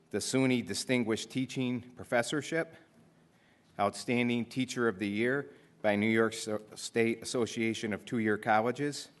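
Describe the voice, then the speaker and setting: A man at a podium microphone formally introducing the keynote speaker at a ceremony, reading steadily. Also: lowish voice.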